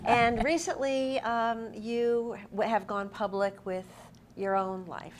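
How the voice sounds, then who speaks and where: A woman's voice: on the high side, fairly even intonation, slow, slight background noise. A middle-aged woman interviewing a guest conversationally, in an effusive, admiring way. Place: indoor TV studio desk. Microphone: lapel mic.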